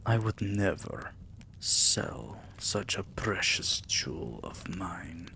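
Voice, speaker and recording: A male actor voices a vampire king in an intimate ASMR roleplay, his voice very deep and thick with creak. He speaks very slowly in soft murmurs that slip toward whispers, possessive and tender with a menacing edge. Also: expressive, heavily acted. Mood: soothing, possessive. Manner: soft, slow, breathy murmurs dipping into whispers.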